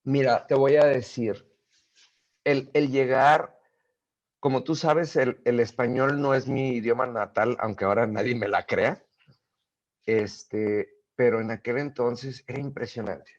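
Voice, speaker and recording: A middle-aged man, a guest in a casual interview, telling stories in an animated way with many fillers and restarts. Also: mid-range voice, unhurried, muffled recording.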